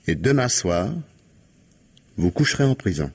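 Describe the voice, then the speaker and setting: Husky, low-voiced stern police investigator. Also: male voice, big pitch swings, a little mellow, exaggerated voice. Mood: stern, menacing. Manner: commanding, deliberate, articulate, dictating orders. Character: stern, implacable male police investigator confronting a suspect. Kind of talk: 1950s French radio mystery acting.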